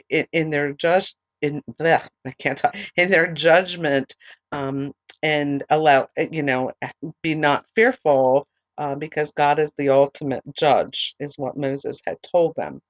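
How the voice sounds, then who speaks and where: A woman teaching a Bible study, reading scripture aloud and then explaining it with many ums and restarts, her voice a little hoarse. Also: deep voice, dry recording, highs cut off. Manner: reads verses steadily, then talks loosely with many ums and restarts. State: losing her voice, a bit hoarse. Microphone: online recorder, computer setup.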